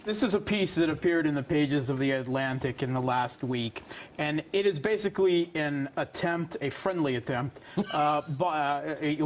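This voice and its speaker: A man in his forties with a rather high voice argues as a foreign affairs analyst. His delivery is halting but emphatic, with a scornful edge, in a TV studio debate. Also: slight background noise. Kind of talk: political panel debate. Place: TV studio at a round desk.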